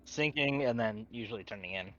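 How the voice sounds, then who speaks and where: Co-player, man, bright forward voice over a dull lo-fi line, relaxed. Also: fairly low pitch, a little fast. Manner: easygoing, laughs while talking. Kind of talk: casual gaming chat.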